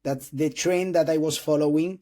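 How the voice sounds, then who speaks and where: A man in his twenties from Spain, speaking English with a Spanish accent as a non-native speaker, hosting an interview with halting restarts and frequent throat clearing. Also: a little high, steady tone, warm tone. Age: 20s. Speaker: host interviewing a doctor. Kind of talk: casual interview talk.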